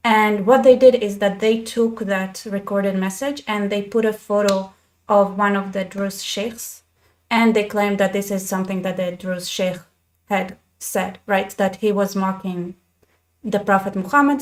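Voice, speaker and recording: A woman's voice: medium pitch, steady tone, measured pace. Chatty woman talking to viewers. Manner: chatty, restarts often, addresses viewers directly. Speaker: channel host. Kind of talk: casual commentary to camera. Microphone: desk microphone.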